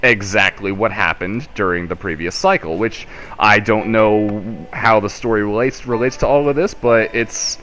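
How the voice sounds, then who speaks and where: A man co-hosting a casual video game chat, explaining things in a halting, rambling, self-correcting way. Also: fairly low pitch, a bit bright, slight background noise. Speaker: podcast co-host.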